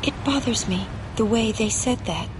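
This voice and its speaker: A woman playing a gentle, earnest young summoner in a video game, speaking softly and haltingly with quiet worry. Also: fairly high pitch, noisy background, close to an everyday voice. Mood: troubled, wondering. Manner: soft, hesitant, halting phrasing. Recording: game audio with loud music.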